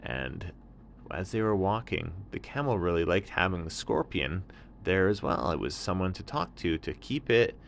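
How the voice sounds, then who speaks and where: A man's voice: low-pitched, animated, normal speed, creaky, slight background noise. A man telling an improvised children's bedtime story, narrating in simple, explanatory phrases.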